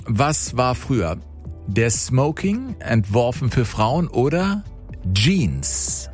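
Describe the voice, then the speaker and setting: A man hosting a radio phone-in quiz in a lively game show style. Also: medium pitch, slow. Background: music bed.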